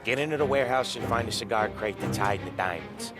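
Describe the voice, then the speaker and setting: A man plays a commanding mobster in a cinematic crime game. He speaks somewhat low, curt and matter-of-fact, like someone handing out orders. Music is loud behind his voice. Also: fast, natural voice. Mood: businesslike. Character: cool, commanding mobster leading a heist. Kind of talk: cinematic video game acting.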